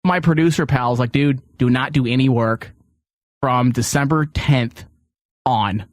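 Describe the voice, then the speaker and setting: A man's voice: mid-range voice, unhurried, no room sound, clean studio sound. A man hosting a casual comedic radio chat, blunt and self-deprecating in his joking. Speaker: show host. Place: radio studio.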